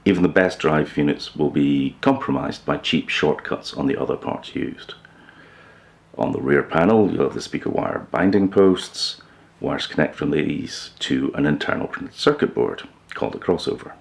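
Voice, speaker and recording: Very deep, gravelly male presenter. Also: normal speed. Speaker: host of a hi-fi upgrade video channel. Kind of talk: informal explainer to camera.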